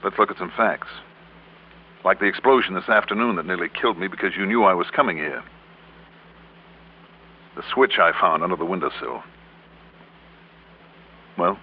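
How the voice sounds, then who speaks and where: An actor playing a hard-nosed, steady insurance investigator in an old radio detective drama, speaking in a measured, probing way. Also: male voice, low-pitched, slight background noise. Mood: accusing. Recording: narrow-band old radio recording.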